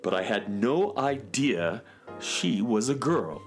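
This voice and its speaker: A fussy, eccentric space handyman, played by a man, talks in precise, theatrical phrases that swing very widely in pitch. He is an earnest inventor in a children's radio adventure, with music behind him. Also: big pitch swings, slow pace. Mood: earnest explaining. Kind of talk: children's radio serial acting.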